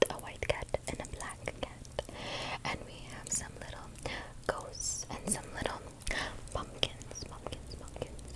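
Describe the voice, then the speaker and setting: Female ASMR host, breathy whisper, unhurried, playful rhythmic repetition. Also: rough recording. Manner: playful, repeats words and phrases rhythmically. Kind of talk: ASMR, whispered chat to viewers.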